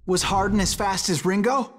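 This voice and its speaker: A man's voice: sits high, normal speed, close to an everyday voice. An actor playing an eager, starstruck boy with an American accent, asking earnest questions in a Western video game. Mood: awed, curious. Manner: earnest, admiring, inquisitive. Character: eager, starstruck boy listening to a gunslinger's tales. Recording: loud music over the voice.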